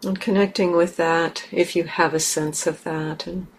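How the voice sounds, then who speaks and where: A woman meditation teacher leading a guided meditation gently and haltingly, in short phrases with long pauses. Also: lowish voice, fairly even intonation, poor audio. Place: meditation center hall. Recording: clear background noise, room ambience.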